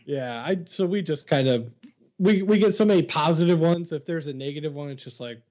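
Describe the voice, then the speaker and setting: A man's voice: on the high side, a little fast, darkish tone, a little hiss or hum. A man from Northwest Indiana hosting a casual podcast interview, chatty and laughing easily. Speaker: podcast host. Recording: muffled remote audio.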